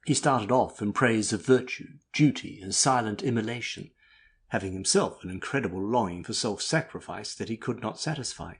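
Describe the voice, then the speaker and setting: Husky male literary narrator. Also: lowish voice, moderate pace. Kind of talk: scripted literary audiobook narration.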